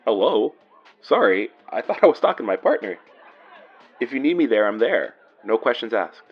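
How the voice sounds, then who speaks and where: A man's voice: medium pitch, big pitch swings, moderate pace, breathy edge, muffled recording, soft background music, a faint crowd. An actor playing a flirty, easygoing male police officer in a naturalistic audio drama. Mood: concerned, puzzled. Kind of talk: naturalistic horror fiction podcast acting.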